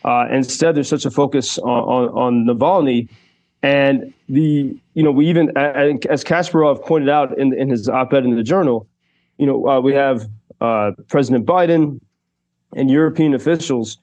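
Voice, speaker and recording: A man's voice: medium pitch, fairly even intonation, normal speed, darkish tone, highs cut off. A man reporting live for a radio show, talking thoughtfully with many fillers and restarts. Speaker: radio correspondent. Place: Kyiv.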